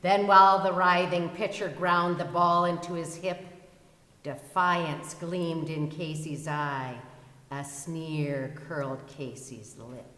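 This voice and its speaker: A woman's voice: deep voice, fairly even intonation, slow, fairly bright tone. A woman reciting poetry dramatically as a readers theater performer. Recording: very echoey hall, long reverb.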